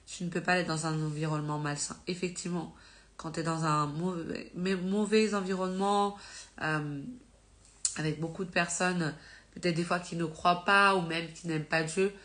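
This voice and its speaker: A woman's voice: on the low side, a bit bright. A woman with a slight cold, teaching about faith on a live stream in an informal, conversational way, full of fillers and self-corrections. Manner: earnest and encouraging.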